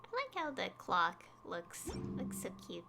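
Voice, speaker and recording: A woman's voice: mid-range voice, wildly swooping pitch, unhurried, creaky. A woman streaming a game, giving casual, informal commentary as she thinks aloud. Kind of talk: casual livestream gameplay commentary. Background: game music.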